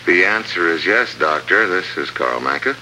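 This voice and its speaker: An actor playing a tough, wisecracking American secret agent in a wry, clipped 1950s radio adventure style. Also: male voice, sits low, animated, moderate pace, pushed and bright, heavily acted. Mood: brisk, confident. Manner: wry, clipped, hard-boiled. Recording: noisy old radio drama recording.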